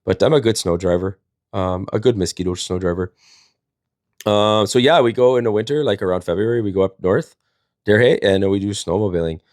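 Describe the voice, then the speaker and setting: A man's voice: deep voice, a little mellow, no echo, studio quality. A man, telling casual comedic stories in a rambling, folksy, jokey way as a livestream host. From Wisconsin, United States.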